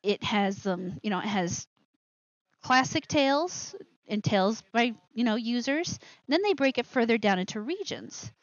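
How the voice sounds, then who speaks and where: A woman's voice: a little high, expressive, normal speed, no echo, muffled recording. A woman hosting a live show with casual commentary, rambling and interrupting herself with asides.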